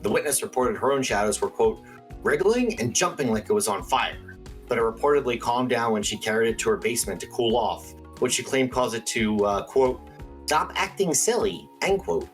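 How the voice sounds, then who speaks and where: An actor playing a quirky, excitable small-town paranormal radio host in a radio play, theatrical and earnest. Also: male voice, mid-range voice, moderate pace, soft background music, natural voice. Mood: earnest, intrigued. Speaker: radio call-in show host.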